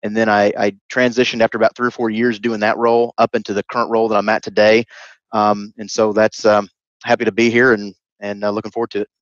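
A man's voice: lowish voice, level tone, quick pace, no room sound. A man in his thirties answering interview questions over a headset video call, hesitant with many restarts. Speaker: guest expert. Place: home room, indoors. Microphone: headset mic, video call. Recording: dry, slightly dull video-call audio.

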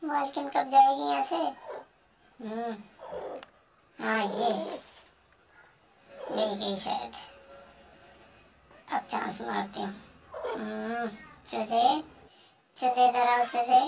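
A hungry female house cat mutters her schemes slowly to herself, humming as she plots, as a woman voices her. Her fairly high voice has a gravelly, fried edge and sits a little away from the mic. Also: steady tone, darkish tone, recorded from a distance, slight background noise. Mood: hungry plotting. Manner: muttering, plotting to herself with many hums. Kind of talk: comic pet voice-over.

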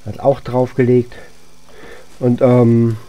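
A man with a very dark, mellow voice comments casually on a game he is playing. He talks as if thinking aloud, in an unhurried way, with a soft-edged, muted tone. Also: medium pitch, monotone, lo-fi sound. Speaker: gaming video host. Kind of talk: casual let's-play commentary.

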